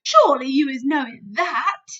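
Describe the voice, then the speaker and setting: A woman voicing a big, friendly, very old male giant who jumbles his words into made-up ones, in a booming storybook voice. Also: expressive, normal speed, sharp tone. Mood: earnest. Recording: dull lo-fi recording.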